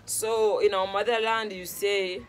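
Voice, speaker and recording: A woman's voice: medium pitch, flat delivery, a little slow, poor audio. A young Kenyan woman, a native Luo speaker, explaining phrases casually to camera in a conversational, repetitive way, often checking that she is understood. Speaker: vlog host teaching phrases. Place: small room at home, on a couch.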